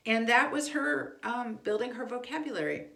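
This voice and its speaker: A woman's voice: fairly high pitch, moderate pace, creaky, fairly bright tone, a little hiss or hum. A middle-aged woman gives an informal instructional talk, speaking conversationally and leaning on repeated words for emphasis. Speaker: homeschool instructor. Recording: echoey, roomy sound.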